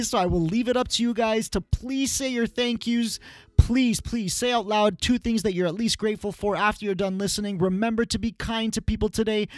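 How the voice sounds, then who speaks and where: An effusive male radio host with a notably high voice. He talks conversationally and straight to his listeners, in a dry, close sound with faint music behind. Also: dry recording. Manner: effusive, addresses listeners directly. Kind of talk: upbeat conversational radio hosting.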